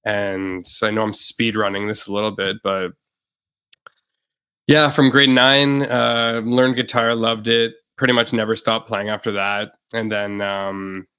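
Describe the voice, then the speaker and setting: A man's voice: fairly low pitch, a little slow, clear, resonant tone. A young man, a musician guest on a podcast, chatting casually and loosely with lots of fillers. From Canada. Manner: relaxed, rambling with many fillers. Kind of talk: casual interview chat.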